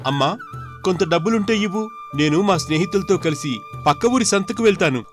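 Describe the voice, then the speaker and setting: A man voicing a mischievous, idle young son who pleads eagerly with his parents in an animated story. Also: high-pitched, a little fast, soft background music. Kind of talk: animated moral story dialogue.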